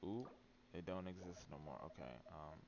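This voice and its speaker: A man's voice: deep voice, moderate pace, lo-fi sound, noisy background. A man doing casual, rambling and playful live-stream commentary while he plays a video game. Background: faint game music.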